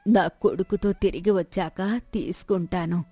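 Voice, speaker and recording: A woman's voice: on the low side, steady tone, unhurried, mellow, breathy edge, no echo. Woman acting a shrewd old village woman. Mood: pleading, plaintive. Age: older. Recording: background music bed.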